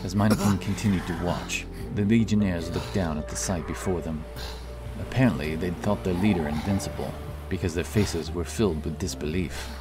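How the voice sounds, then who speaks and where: A very low-voiced man narrating a fantasy audiobook with steady, dramatic delivery. Loud music runs underneath his voice. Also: normal speed.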